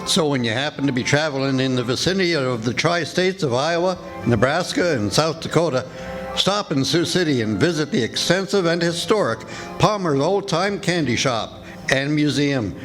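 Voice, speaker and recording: Male sponsor-spot reader for vintage-style radio, lightly high pitch, music bed underneath. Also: lively intonation, normal speed. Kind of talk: scripted old-time radio sponsor spot. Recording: live stage recording under music.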